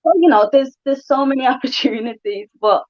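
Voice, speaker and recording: A woman's voice: high voice, a little fast. Chatty woman on a muffled call. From England. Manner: chatty, frequent fillers and asides. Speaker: guest. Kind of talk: casual livestream interview.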